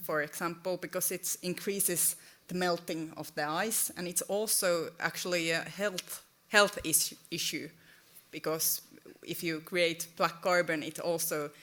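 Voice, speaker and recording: A woman in her thirties who is not a native English speaker, speaking on a panel in a halting way with many fillers and self-corrections. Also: lowish voice, fairly even intonation, fairly bright tone. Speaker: panelist, head of government. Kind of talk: panel discussion. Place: conference stage with audience.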